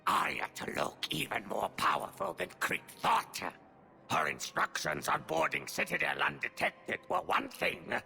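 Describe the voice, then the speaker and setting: A sly, ambitious alien gangster from a sci-fi game. The voice is raspy and fiercely sharp, leaping up and down. Words come slowly in clipped, menacing grammar, with faint music behind. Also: male voice, a little high, huge pitch swings, full character voice. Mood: gloating menace. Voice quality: gravelly, raspy, breathy at times. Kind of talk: video game acting.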